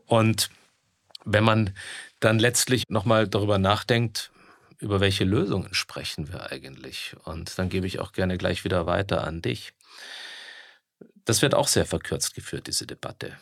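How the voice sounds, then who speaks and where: A man lays out his political analysis in measured, formal sentences. His voice is very deep with a gravelly fry. The recording is dry and close. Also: dry recording. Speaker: podcast co-host, former politician. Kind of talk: political discussion.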